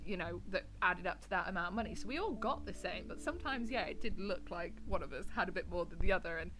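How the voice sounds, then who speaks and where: A woman's voice: fairly low pitch, lively intonation, fast talker, fairly bright tone, a little hiss or hum, low-quality recording. Chatty British woman with a cold. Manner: chatty, rambling asides. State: has a bad cold, a bit nasal. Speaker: host reading and commenting on online stories. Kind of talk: casual reading and commentary. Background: background music.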